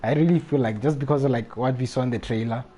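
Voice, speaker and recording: A man's voice: medium pitch, fast, warm tone, a little hiss or hum. A young man doing casual, slangy reaction commentary as he livestreams a video game. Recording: faint game music behind voice. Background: game music.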